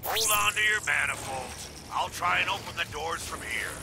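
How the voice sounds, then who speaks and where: Gravelly veteran robot soldier. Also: male voice, a little high, expressive, normal speed, over loud music. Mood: urgent. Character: gruff, folksy veteran male robot soldier. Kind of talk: video game voice acting.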